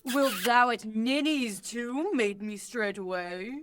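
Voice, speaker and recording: Woman as melodramatic young male lover for Shakespeare radio play; drawn-out, declamatory verse at a very slow pace. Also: on the high side. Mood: ardent.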